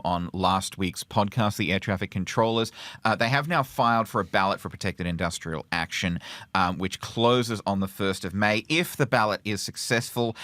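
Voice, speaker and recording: A man co-hosting a podcast, reporting news conversationally in a halting way, often rephrasing himself. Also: lowish voice, a bit bright, no echo.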